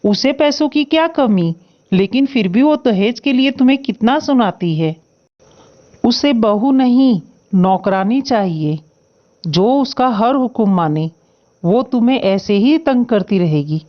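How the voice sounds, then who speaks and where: A woman's voice: a little high, lively intonation, a little slow, dull audio. A woman voicing a caring, stubborn young male crow, a protective husband in a moral-story cartoon. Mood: earnest complaint. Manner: earnest, protective, insistent.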